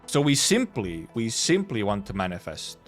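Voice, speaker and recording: A man with a fairly low voice talks casually as a game streamer. His pitch moves around in an animated way as he rambles and circles back over his own phrases. Music plays faintly behind him. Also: normal speed. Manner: rambling, repeats himself. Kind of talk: casual livestream chat.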